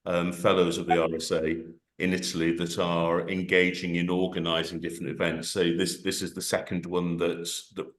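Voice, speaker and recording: Deep-voiced man, halting, echoey room. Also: completely flat delivery. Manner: hesitant, many restarts and fillers. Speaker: event organiser and moderator. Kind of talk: online panel discussion. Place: home, on a video call. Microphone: video call. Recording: echoey room on a video call.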